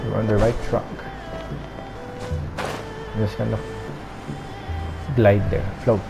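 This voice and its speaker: Slow, creaky woman streamer muttering. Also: very deep voice, little pitch movement. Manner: muttered short reactions, hesitant, thinking aloud. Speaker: video game streamer. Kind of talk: casual gameplay commentary. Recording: game music behind the voice.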